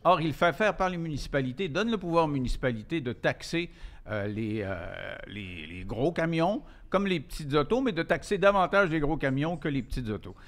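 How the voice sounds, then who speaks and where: A man's voice: fairly high pitch, lively intonation, brisk, a bit bright. Conversational male host. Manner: conversational, hesitant with fillers. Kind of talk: moderating a debate.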